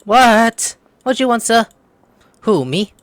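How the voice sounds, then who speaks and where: A man's voice: very high-pitched, sing-song, slow, a little hiss or hum. A man doing casual let's play commentary, reading game dialogue choices aloud and muttering asides. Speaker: gameplay streamer.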